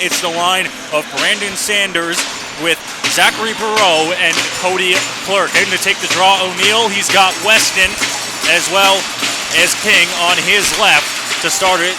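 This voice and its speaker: A man doing live radio play-by-play commentary of an ice hockey game as the announcer. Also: high voice. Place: indoor hockey arena. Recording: noisy live arena radio broadcast.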